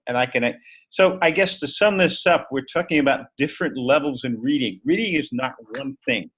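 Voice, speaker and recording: A man's voice: a little high, fairly bright tone. A man teacher giving a thoughtful, explanatory answer in an online discussion over a video call.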